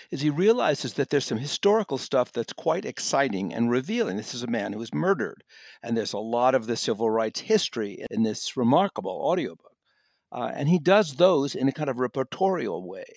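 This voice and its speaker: Man, American accent, audiobook reviewer, deliberate emphatic phrasing, dry studio-like sound. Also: medium pitch, sing-song, darkish tone, no echo. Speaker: audiobook reviewer and podcast guest. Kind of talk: conversational review commentary.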